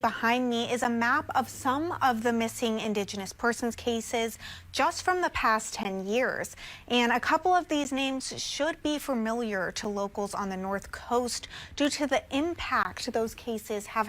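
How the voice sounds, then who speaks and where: An American woman reads scripted television news as a reporter. Her voice sits a little higher than most women's, and she keeps to a narrated report style. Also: lively intonation, a little slow, a bit bright.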